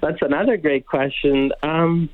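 A man from Argentina, a finance educator, explaining money slowly and carefully with many pauses, heard over a phone line. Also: fairly high pitch, fairly even intonation, no room sound. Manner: slow, measured, hesitant with fillers and restarts. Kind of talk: explaining finance on a radio call-in. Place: on a phone call into a radio studio. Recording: tinny phone line, dry.

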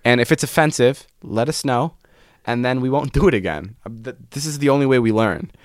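A man's voice: mid-range voice, fairly quick, no echo, clean studio sound. A young man co-hosting a casual podcast, telling stories with a joking manner and frequent restarts. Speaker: podcast co-host.

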